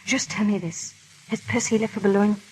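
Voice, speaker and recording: A woman's voice: medium pitch, steady tone, fairly quick, darkish tone, slightly rough, close to an everyday voice. A woman playing a proud, guilt-ridden aristocratic wife in a theatrical, urgent 1930s radio drama style. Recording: crackly, hissy 1930s radio recording.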